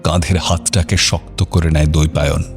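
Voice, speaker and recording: A man narrating a scripted dramatic audio story in a measured, suspenseful manner. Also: a bass voice, little pitch movement. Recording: prominent music bed under narration.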